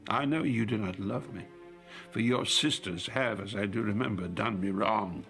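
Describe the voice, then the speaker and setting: An actor playing a frail, confused old king in his eighties in a classical audio drama, gravelly and halting. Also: lowish voice, fairly bright tone, soft background music. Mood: humbled, sorrowful. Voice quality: gravelly, creaky. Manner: halting, bewildered.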